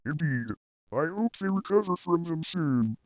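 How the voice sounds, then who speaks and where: A man plays a blunt warning chief with a voice a bit higher than most men's. His delivery is terse, in the manner of a hobby audio drama. The recording is very dry and slightly dull. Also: animated, measured pace, no echo. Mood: wary. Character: blunt male warning chief, incredulous, foul-mouthed, decisive. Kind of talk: scripted amateur audio drama dialogue.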